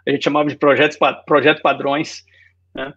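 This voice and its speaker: Um homem na casa dos quarenta com sotaque baiano misturado com carioca, contando sua trajetória numa conversa descontraída, com muitos "né?". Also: male voice, a little high, brisk, husky, highs cut off. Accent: Bahian Portuguese mixed with Rio. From Salvador, Bahia, Brazil. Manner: relaxed storytelling, frequent "né?". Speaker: guest designer. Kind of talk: casual interview chat.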